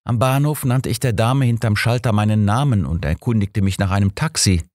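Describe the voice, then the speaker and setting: A man's voice: fairly low pitch, brisk, darkish tone, no echo, studio quality, voice pushed up, natural voice. A man voicing an earnest, sorrowful businessman in an audiobook, polite and explanatory in manner. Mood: indignant. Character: earnest, sorrowful businessman from abroad, protesting innocence.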